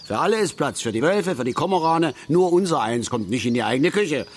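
An actor playing a middle-aged angler in a radio drama, bitter, opinionated and self-pitying, with a grumbling, rambling, sardonic and colloquial delivery. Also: male voice, a little high, animated, a little fast, slight background noise, lightly acted. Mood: grumbling, lecturing. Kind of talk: naturalistic German radio drama acting.

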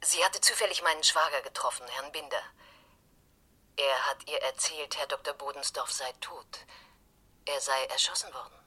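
A discreet, purposeful woman in a crime radio play. Her low voice is breathy yet sharply bright, and she speaks formally, choosing her words with care. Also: full character voice. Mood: formal, serious. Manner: formal, deliberate.

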